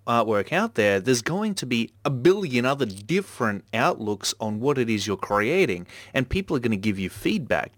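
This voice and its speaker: Male podcast host, mid pitch, dry close sound, chatty and reflective. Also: fairly bright tone, no room sound. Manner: talkative, reflective, many fillers. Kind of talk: casual podcast chat.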